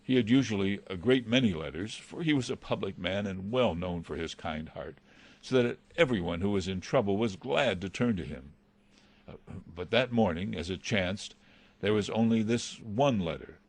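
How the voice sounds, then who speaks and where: A man's voice: fairly low pitch, expressive, normal speed. A man playing an anxious, loyal butler, hesitant, formal and respectful, in a dramatised reading of a classic novel. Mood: hesitant, confiding. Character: deferential, anxious male butler, pleading and loyal.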